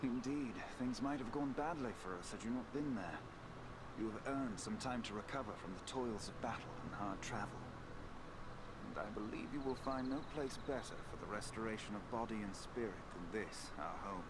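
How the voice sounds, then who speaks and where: An actor playing a courteous, serene elf warrior in a video game, speaking formally and graciously. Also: male voice, lowish voice, normal speed, heavy background noise, lightly acted. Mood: gracious, calm. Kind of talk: video game cutscene dialogue.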